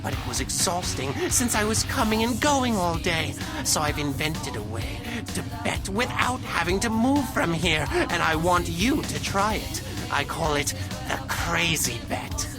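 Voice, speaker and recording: Dramatic robot gambler for a game, lowish voice swinging around, music behind. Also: female voice, animated, a little slow. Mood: welcoming, boastful. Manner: dramatic, declaiming. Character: theatrical, brooding robot gambler with grand airs. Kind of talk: scripted video game cutscene acting.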